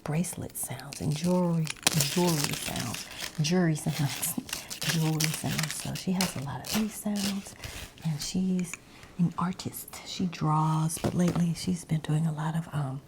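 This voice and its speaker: A woman ASMR creator rambling in a breathy whisper mixed with soft, hushed speech, meandering as she goes. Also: low-pitched, measured pace, background noise.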